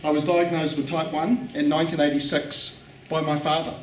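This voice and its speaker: A man giving a relaxed conference talk, repeating words for emphasis, with pauses for an interpreter. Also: on the high side. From New Zealand. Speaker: guest speaker. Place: conference hall. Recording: echoey hall, distant mic, faint noise.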